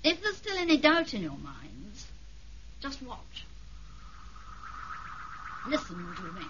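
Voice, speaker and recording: A woman's voice: on the high side, huge pitch swings, takes it slowly, full character voice. An actress playing a poised, commanding aristocratic British lady villain in a 1970s radio drama. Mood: cold, commanding. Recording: dull, noisy 1970s radio recording.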